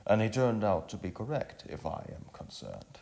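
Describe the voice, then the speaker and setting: A man playing an earnest young village workman in a storybook, formal, deliberate and halting. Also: lowish voice, huge pitch swings, gravelly, a little mellow, voice pushed up, exaggerated voice. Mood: earnest, explaining.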